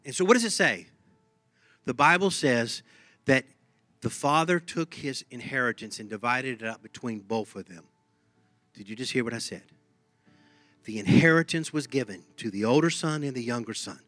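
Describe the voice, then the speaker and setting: A man's voice: mid-range voice, lively intonation, normal speed, fairly bright tone, no echo, faint music behind. A man with a Texan accent preaching a sermon in an emphatic, conversational way, often repeating his phrases. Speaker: pastor.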